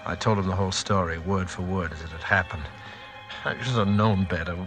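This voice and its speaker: An actor playing an anxious, desperate husband, narrating gravely and confessionally in a 1940s radio thriller. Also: male voice, sits low, a little fast, a bit bright, soft background music. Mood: grim, desperate.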